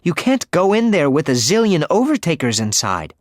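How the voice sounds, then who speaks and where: A man's voice: fairly high pitch, big pitch swings, normal speed, dry recording, clean studio sound, voice pushed up. A man voicing a blunt, teasing teenage girl. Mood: needling.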